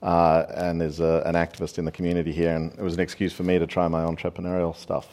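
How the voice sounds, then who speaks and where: A man from Australia, giving wry, relaxed answers as a panel guest. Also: low voice, fast talker.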